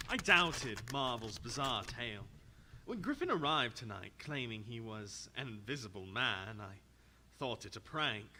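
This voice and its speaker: A man acting as an earnest, cautious gentleman scientist in a radio drama, deliberate and theatrical. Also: on the high side, slight background noise, full character voice. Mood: astonished.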